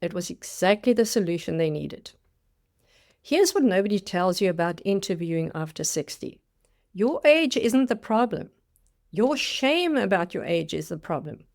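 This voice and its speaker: A woman's voice: fairly low pitch, sing-song, normal speed, gravelly, a little mellow. A woman in her sixties with a South African accent, telling her own career story in a scripted, dramatic monologue as a career coach. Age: 60s. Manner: dramatic storytelling with acted-out quotes.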